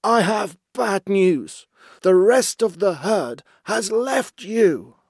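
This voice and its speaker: High, nervous walrus underling. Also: male voice, sing-song, takes it slowly, gravelly, breathy edge, no room sound, studio quality, exaggerated voice. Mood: fearful. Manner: anxious, placating, hesitant with stammers. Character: timid, nervous walrus underling, fawning to his chief.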